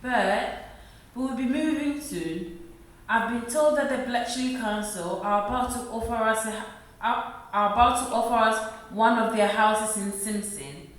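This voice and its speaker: Radio drama: actress as gentle working-class mum, worried, higher-pitched, heard in echoey room. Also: female voice, measured pace, close to an everyday voice. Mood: grateful, sorrowful. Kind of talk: radio play acting. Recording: echoey room, far from mic.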